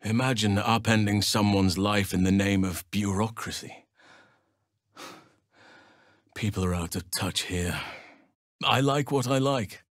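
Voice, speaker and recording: Deep, measured elf druid, voiced by a man. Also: no echo, studio quality, close to an everyday voice. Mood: warm, reflective. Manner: measured, formal, solemn phrasing. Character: ancient, earnest male elf druid, calm and nature-loving. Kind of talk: video game character dialogue.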